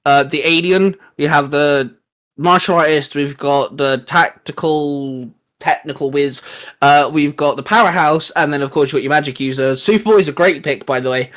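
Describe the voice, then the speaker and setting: A man co-hosting a geek show, chatting casually like a fan in a slangy, animated storytelling way. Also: on the high side, normal speed. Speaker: co-host of a geek culture show.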